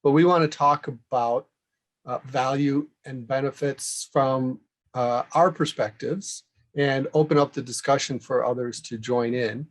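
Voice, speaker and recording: A man hosting a webinar, presenting in a measured, somewhat halting way with pauses. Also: medium pitch, steady tone. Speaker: host and moderator, association director. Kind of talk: webinar presentation, semi-scripted.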